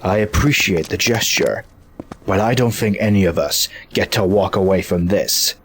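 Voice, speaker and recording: A man playing an earnest, principled robot hero in a fan dub, speaking in a grave, measured, deliberate way. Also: sits low, fairly bright tone, slightly rough, exaggerated voice. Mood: resolute. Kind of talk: scripted fan dub acting. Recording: faint background noise and ambience.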